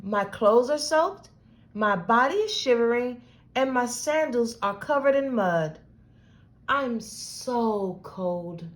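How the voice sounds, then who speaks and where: A woman voicing a pious rabbi man who pleads slowly and plaintively to the heavens in a storybook reading. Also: fairly high pitch, expressive. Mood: miserable, pleading. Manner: slow, plaintive, beseeching prayer.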